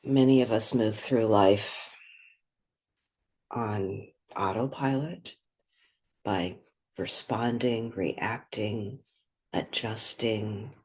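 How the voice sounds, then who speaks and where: A soft-spoken woman leading a guided meditation, pausing between short phrases. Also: extremely low pitch, husky.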